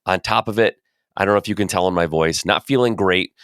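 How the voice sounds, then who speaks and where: A man hosting a podcast who is under the weather, talking in a chatty, energetic way in a live Q&A. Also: low-pitched, fast, smooth, dry recording, clean studio sound. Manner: energetic, chatty, repeats phrases. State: under the weather, voice deeper than usual. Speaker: podcast host. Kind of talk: casual live Q&A talk.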